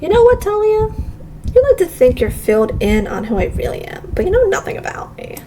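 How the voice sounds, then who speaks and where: A woman's voice: very high voice, very animated, fairly quick, warm tone, recorded from a distance, slight background noise, pitched above the performer's own voice, poor audio. A woman voicing a bitter, threatening rival with an accusing delivery in a game read-aloud.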